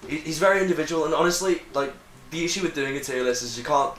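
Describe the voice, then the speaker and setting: A man's voice: a little high, fast talker, fairly bright tone. A man chatting casually with friends, giving blunt, enthusiastic, slangy opinions with some swearing. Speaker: host ranking costumes with friends. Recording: roomy echo with clear background noise.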